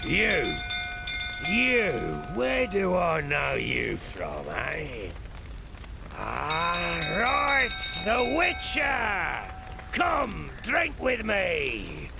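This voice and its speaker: Volatile older baron for a fantasy game: drunken, blustering, very slow, music loud behind. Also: male voice, high-pitched, huge pitch swings, creaky, exaggerated voice. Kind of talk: theatrical video game acting.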